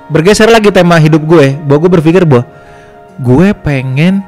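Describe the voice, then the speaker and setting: A man's voice: a little high, normal speed, darkish tone, faint music behind. A man with a Jakarta Indonesian accent telling his own story in a casual, slangy podcast conversation.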